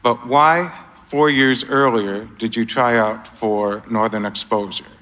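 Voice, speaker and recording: A man with a medium-pitched voice asks a question at a live panel. He speaks slowly and with a playful, teasing manner. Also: fairly even intonation, a bit bright. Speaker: audience questioner.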